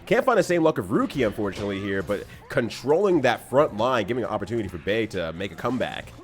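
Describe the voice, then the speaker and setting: A man giving lively, jokey game commentary with drawn-out exclamations and casual chat. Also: on the low side, soft background music. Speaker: play-by-play commentator and player.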